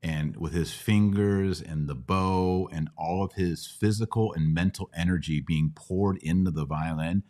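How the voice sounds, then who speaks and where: A man's voice: sits low, a little slow, few pauses, dark tone. A middle-aged man hosting a casual live question-and-answer show, teaching step by step with questions and simple analogies. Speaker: podcast host answering Bible questions.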